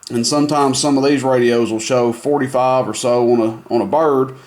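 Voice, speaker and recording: A man's voice: mid-range voice, steady tone, normal speed, a little mellow. Easygoing man demoing a CB radio. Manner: easygoing, rambling with many fillers. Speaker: CB radio seller. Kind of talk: casual product demo.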